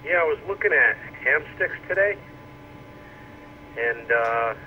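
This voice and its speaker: A man chatting casually over two-way radio as an amateur operator, rambling and thinking out loud. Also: a little high, expressive, takes it slowly, narrow, tinny audio. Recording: radio speaker re-recorded with loud noise.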